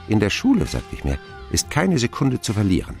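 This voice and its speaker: Wistful grown man, very low voice, animated storytelling, radio drama narration of a lonely boyhood. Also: a little fast, creaky, soft background music, exaggerated voice. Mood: wry.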